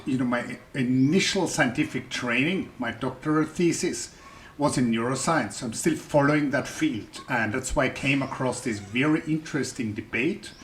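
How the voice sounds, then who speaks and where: A man's voice: medium pitch, fairly bright tone, slight background noise. A man with a German accent explains a scientific debate to a general audience in a chatty, thinking-aloud way, often restarting his sentences. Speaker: science YouTuber. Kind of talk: explaining research for the general public.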